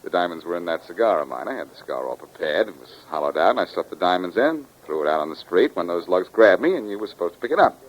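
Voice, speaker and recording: An actor playing a brash, glib adventurer with a sardonic, slangy way of talking in a 1940s radio crime drama. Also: male voice, low voice, sing-song, fast talker, hardly any pauses. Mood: incredulous, explaining. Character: brash, wisecracking male adventurer outwitting crooks and police. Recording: muffled 1940s radio recording.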